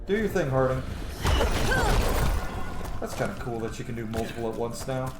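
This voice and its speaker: A man's voice: medium pitch, normal speed. A man streams a video game in a slightly echoey room with music playing behind him. He talks casually and with animation, wandering into tangents and swearing as he goes. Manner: animated, swearing, rambling asides. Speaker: game streamer on own channel. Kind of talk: casual gameplay commentary.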